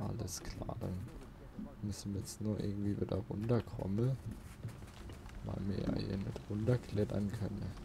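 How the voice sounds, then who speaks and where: A man comments casually on a video game at an unhurried pace. He mutters and grumbles to himself, swearing mildly, while clear game noise runs behind him. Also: fairly low pitch. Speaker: let's player. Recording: noisy, game sound behind voice. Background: video game ambience.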